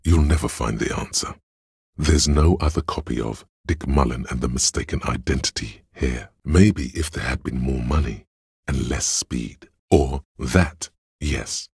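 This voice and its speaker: A man voicing the cold, dry inner logic of a detective in a video game, measured and analytical, with a gravelly, husky delivery. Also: rumbling low, a little mellow, no room sound, full character voice. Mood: sardonic, detached. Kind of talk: scripted video game voice acting, second-person narration.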